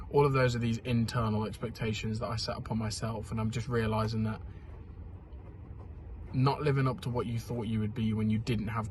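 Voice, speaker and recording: A man's voice: fairly low pitch, noisy background. A 21-year-old man, talking candidly and rambling in a casual vlog diary while ill with a sore throat and sniffles. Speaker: vlogger.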